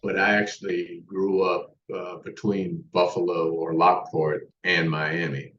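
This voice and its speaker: Older guy with a really low voice, rambling through his life story, sounds far off in an echoey room, kinda muffled. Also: flat delivery, a little slow, fairly bright tone, slight background noise. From United States. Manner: rambling, with many restarts and fillers. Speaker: podcast guest. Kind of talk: casual interview storytelling. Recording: distant roomy muffled remote mic.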